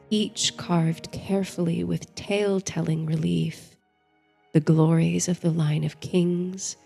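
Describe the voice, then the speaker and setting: A woman narrating a bedtime story and guided meditation in a soothing, measured, dreamy manner, as the host. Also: lowish voice, vocal fry. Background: soft music.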